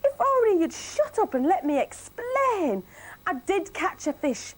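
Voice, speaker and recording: Shrill fisherwife, high and fretting, voiced by a woman reading a storybook. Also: wildly swooping pitch, a little slow, exaggerated voice, poor audio. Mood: exasperated. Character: flustered fisherwoman wife, middle-aged, exasperated.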